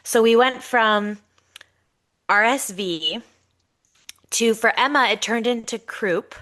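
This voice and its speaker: Woman, 30s, stuffy nasal voice, animated storyteller, tense bright tone. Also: a little high, takes it slowly. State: congested, nasal. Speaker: podcast co-host. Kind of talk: casual storytelling chat.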